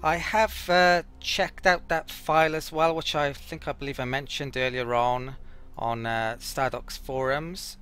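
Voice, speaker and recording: A man with a bright, forward voice at a middling pitch talks through a video game as he plays. His delivery is casual and a little rambling, as he hesitates and thinks out loud. Faint music sits behind him. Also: steady tone, measured pace, clean tone. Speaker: let's play host. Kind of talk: casual gameplay commentary.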